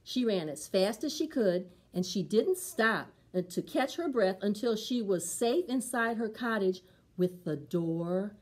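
A middle-aged woman reading a picture book aloud for preschool storytime, with deliberate, dramatic emphasis on sound words. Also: mid-range voice, expressive, darkish tone. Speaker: library storytime reader.

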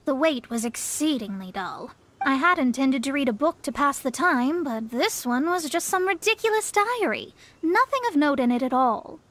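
A woman's voice: high voice, animated, normal speed, a bit bright. A woman voicing a haughty, formal young female astrologist in a video game, with pompous, precise diction. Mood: bored, dismissive. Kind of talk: video game dub acting.

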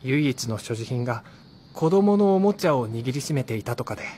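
A man acts a courteous police officer in a radio drama. He speaks formally and reassuringly at a medium pitch, with faint background noise. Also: lively intonation, moderate pace, warm tone, lightly acted. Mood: businesslike. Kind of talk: Japanese radio drama acting.

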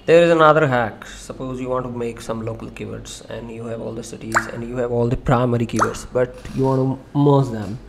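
A man giving a loose, conversational screen-share tutorial on SEO software, with frequent fillers and restarts. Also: mid-range voice, fairly even intonation, brisk, creaky, few pauses, heavy background noise.

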